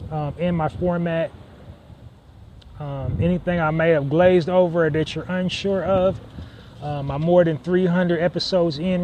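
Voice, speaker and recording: Man, podcast host, slightly high voice, rambling with lots of fillers, faint street noise. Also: darkish tone. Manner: rambling, many fillers and restarts. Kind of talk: casual solo podcast monologue.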